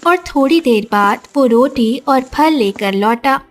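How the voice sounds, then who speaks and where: A woman's voice: sits high, expressive, slow pace. A woman reading a scripted story as narrator, with music behind her. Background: background music.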